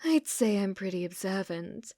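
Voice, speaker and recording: A woman's voice: mid-range voice, expressive, moderate pace, warm tone, no room sound, close to an everyday voice. A British woman playing an obsessive, delusional young female stalker in an ASMR roleplay, intimate and sweetly menacing, close to the microphone. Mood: insistent. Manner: intimate, unhinged, swinging between whispers and intense pleading.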